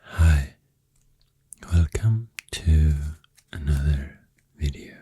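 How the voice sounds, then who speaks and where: Swedish-accented man, ASMR host, extremely dark mellow voice, slow and soothing, breathy half-whisper, close-miked. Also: expressive, dry recording. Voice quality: breathy, near-whispered deep voice. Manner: gentle, soothing, unhurried. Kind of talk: soft-spoken ASMR rambling. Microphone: close microphone.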